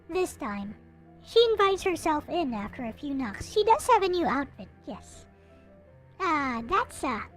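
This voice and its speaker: A woman reading a visual novel aloud in an expressive, theatrical way, with some casual commentary. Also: high-pitched. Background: background music.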